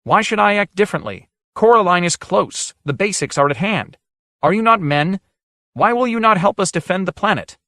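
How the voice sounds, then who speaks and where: A man reading an audiobook, voicing a proud lord with a nasal, shrill voice who speaks in an insistent, pressing way. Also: brisk, few pauses, a bit bright, no room sound, clean studio sound, close to an everyday voice. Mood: indignant. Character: proud, urgent male lord, pleading then arguing.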